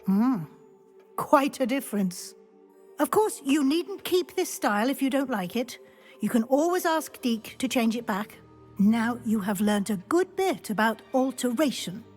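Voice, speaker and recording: A woman playing a kindly, composed female professor in a game cutscene, gentle and instructive. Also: a little high, lively intonation, unhurried, faint music behind.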